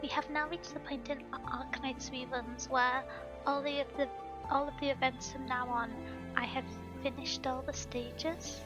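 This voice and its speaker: A softly spoken woman streaming a game live, chatting casually with viewers, her voice slightly hoarse and sore. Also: sits high, little pitch movement, moderate pace. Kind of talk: casual live-stream chat while gaming. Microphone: desk microphone at home. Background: faint background music.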